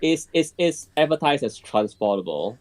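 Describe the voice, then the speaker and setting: A man's voice: fairly high pitch, big pitch swings, normal speed, darkish tone. A man co-hosting a podcast, joining in a casual roundtable conversation. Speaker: podcast co-host.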